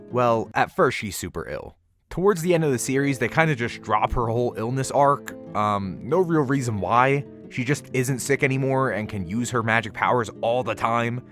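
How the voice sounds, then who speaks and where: Guy doing a snarky casual review voiceover, really lively pitch swings, music playing underneath. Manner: informal, sarcastic, opinionated, swears casually. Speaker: video reviewer and host. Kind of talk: semi-scripted video essay commentary, casual. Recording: music behind the voice. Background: background music.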